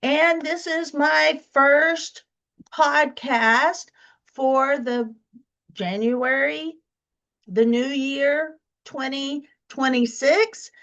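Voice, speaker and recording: A woman's voice: high voice, steady tone, extremely slow, a bit bright, poor audio. A woman who is a professional organizer, hosting a casual solo podcast while congested with a cold, chatty with fillers and restarts.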